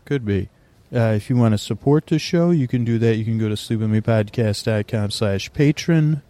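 A man hosting a sleep podcast, talking in a meandering, halting way full of restarts and fillers, recorded on location in a quieter corner of an airport. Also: medium pitch, dry recording. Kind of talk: rambling bedtime podcast talk.

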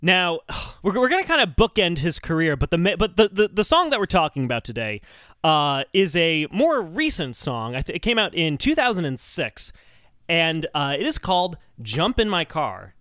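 A man's voice: a little high, very animated, normal speed, a bit bright, no echo, studio quality. A man hosting a comedic podcast, chatting casually with a jokey, mock-serious delivery. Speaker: podcast host.

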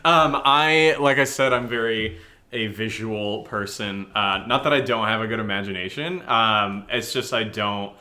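A man's voice: fairly low pitch, a little slow, sharp tone. A man chatting casually as a guest on a podcast. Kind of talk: casual chat. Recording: echoey room.

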